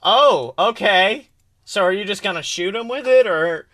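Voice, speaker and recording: A man's voice: sits high, expressive, measured pace, sharp tone. A man casually running a tabletop game as game master, teasing and joking as he narrates. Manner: teasing, jokey. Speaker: game master, podcast host.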